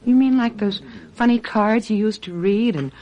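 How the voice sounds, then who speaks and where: A woman's voice: mid-range voice, normal speed, dark tone, muffled recording, close to an everyday voice. A woman playing a trusting, easily swayed wife in a radio mystery drama, yielding and languid in manner. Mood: uneasy, apologetic. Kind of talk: radio mystery drama acting with confiding narration.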